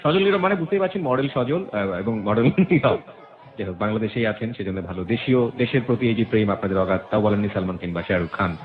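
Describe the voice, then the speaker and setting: A man's voice: on the low side, animated, fast. A middle-aged man with a Bangladeshi accent hosting a casual radio interview, making wry, teasing comments and dropping in English phrases. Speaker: radio host. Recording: roomy, band-limited lo-fi sound.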